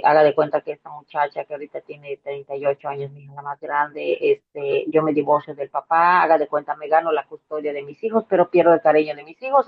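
A woman's voice: low voice, vocal fry. A woman in her fifties with a Mexican Spanish accent, giving an earnest, hesitant personal testimony full of fillers and restarts. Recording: tinny phone-like line.

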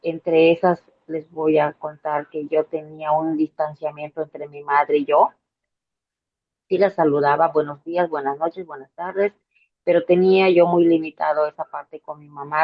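A woman's voice: sits low, flat delivery, moderate pace. A woman in her fifties with a Mexican Spanish accent, giving an earnest, hesitant personal testimony full of fillers and restarts. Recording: tinny phone-like line.

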